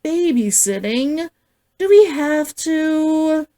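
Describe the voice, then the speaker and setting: A woman's voice: sits high, lively intonation, very slow, warm tone, voice pushed up, heavily acted. A woman voicing a flirtatious, dismissive monster hunter woman in a teasing, theatrical storybook read-aloud. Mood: reluctant, drawling.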